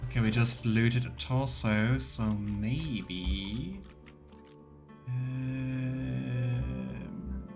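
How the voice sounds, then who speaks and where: A man's voice: medium pitch, lively intonation, drawn-out words, pauses often. A man doing casual let's play commentary, musing and thinking aloud, with music behind him. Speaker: video game streamer.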